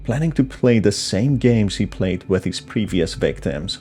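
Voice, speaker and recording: A man's voice: low voice, mellow, husky. A man giving scripted narration that explains a horror story.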